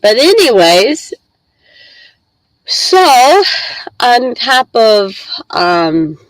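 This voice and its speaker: A congested middle-aged woman hosts a casual live stream, chatting and rambling to her viewers. She talks at a slow, easy pace with plenty of pauses. The sound is dry and close, with no room echo. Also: on the high side, sing-song. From Michigan. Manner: chatty, rambling, reads chat aloud. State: congested with a head cold.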